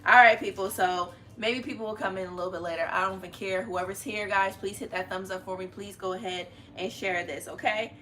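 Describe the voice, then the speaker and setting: A woman's voice: mid-range voice, fairly even intonation, a little fast, hardly any pauses, a bit bright. A young woman hosting a family live stream in a chatty, familiar, slangy way from a living room. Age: young adult. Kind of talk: casual live-stream chat. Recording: noticeable room noise.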